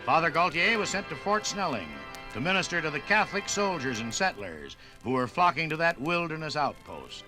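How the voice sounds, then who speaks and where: Highish, animated male radio narrator. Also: fairly quick, few pauses. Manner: dramatic, oratorical phrasing. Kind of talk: 1950s radio documentary narration, scripted. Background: music.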